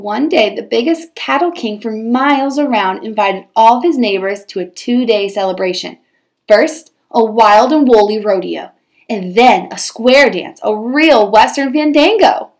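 A woman teacher reading a children's storybook aloud with expressive, dramatized storytelling. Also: on the high side.

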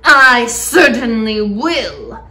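A woman voicing a lean, spiteful, vain, bullying aunt in a storybook, screeching and sneering with theatrical drawn-out words. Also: mid-range voice, lively intonation. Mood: angry, snapping.

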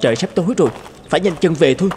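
A man's voice: a little high, rapid-fire, lightly acted. A man voicing a kind, earnest young hero in a cartoon fairy tale. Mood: friendly. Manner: earnest, animated. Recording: loud music under the voice.

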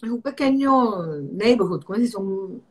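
A middle-aged woman from Venezuela with a Caracas accent, telling stories in an animated, chatty way with many restarts, recorded over a video call from home. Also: mid-range voice, normal speed, husky. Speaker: architect interviewee. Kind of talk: casual interview. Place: home room.

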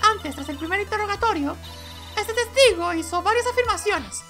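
A woman acts out a confident, encouraging lady lawyer from a video game. Her voice is extremely high and bright, with music playing faintly behind it. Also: moderate pace.

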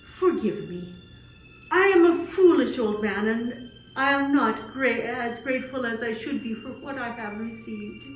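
Woman playing old male puppet storyteller, high-pitched, humble, fretful, dramatic, faint noise behind. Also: animated. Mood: apologetic. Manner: slow, dramatic, hesitant telling with wailing exclamations. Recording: distant, echoey room with faint noise.

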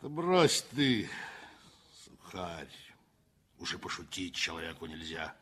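A man's voice: low-pitched, sing-song, slow pace, slightly rough, exaggerated voice. An actor playing a boisterous, joking train driver in his forties in a 1960s radio play. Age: 40s. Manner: loud, boisterous, teasing. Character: hearty forty-year-old train driver, crude joker.

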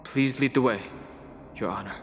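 Man voicing a young male traveler, polite, reserved, mid-pitched, long reverb. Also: normal speed, close to an everyday voice. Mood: calm. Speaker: game character. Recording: studio voice over music in long reverb.

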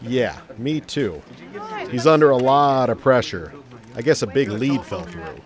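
Audio drama: good-natured wisecracking everyman reporter male actor, mid-pitched and animated. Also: measured pace, a crowd in the background, close to an everyday voice. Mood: polite, wry. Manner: affable, joking.

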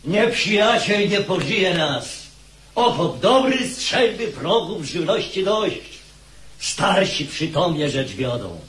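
An actor playing a defiant, excitable soldier in a radio drama, speaking emphatically. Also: male voice, sits high, takes it slowly, slight background noise, exaggerated voice. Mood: defiant, excited.

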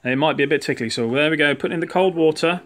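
A man's voice: fairly high pitch, rapid-fire, a bit bright. A man giving a casual home science demonstration to children, explaining in an encouraging, playful way. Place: indoors in a home living room. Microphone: handheld phone behind the camera.

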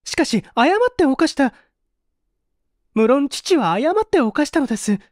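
An anguished young daughter, as acted by a male reader in a mystery audiobook. The voice is raised high and swings with emotion, polite yet pleading, in a dry recording. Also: sing-song, a little slow, no echo, exaggerated voice. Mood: pleading, resolute. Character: anguished earnest young woman, an old man's daughter.

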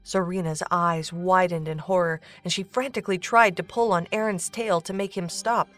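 A woman's voice: on the low side, fairly even intonation, normal speed, fairly bright tone. A woman narrator reading a scripted story for an audio drama. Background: music.

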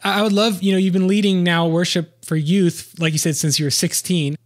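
A man hosting an interview in a fairly high voice. He talks quickly and affirms his guest often. His questions run long. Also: level tone. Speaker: podcast host. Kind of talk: casual interview chat.

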